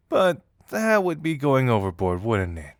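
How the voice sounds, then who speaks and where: Man acting a playful detective, very lively pitch. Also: no echo, studio quality. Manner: teasing, amused, conversational. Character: playful, teasing young male detective, amused confidant. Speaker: voice actor in an audio roleplay. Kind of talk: intimate scripted audio roleplay addressed to the listener.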